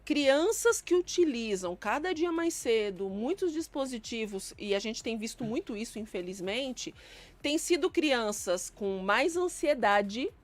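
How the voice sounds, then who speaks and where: High-voiced, earnest woman expert. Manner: earnest, emphatic listing of points. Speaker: guest psychologist. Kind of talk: explanatory panel talk.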